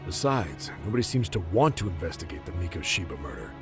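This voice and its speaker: An actor playing a gruff, jaded, foul-mouthed middle-aged police detective in an English game dub. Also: male voice, low voice, sing-song, fast talker, music in the background. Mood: weary, irritated.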